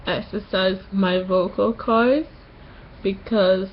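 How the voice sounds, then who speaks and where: A young woman vlogging just after tonsil surgery, a little nasal, talking slowly through a sore throat with many pauses. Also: medium pitch, steady tone, highs cut off, a little hiss or hum. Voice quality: slightly nasal. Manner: slow, halting, pauses often. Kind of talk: casual vlog to camera.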